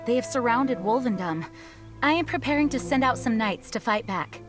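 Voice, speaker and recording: A woman's voice: fairly high pitch, expressive, moderate pace, close to an everyday voice. An actress playing a dutiful female knight commander in a game, formal and composed. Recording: game audio with music.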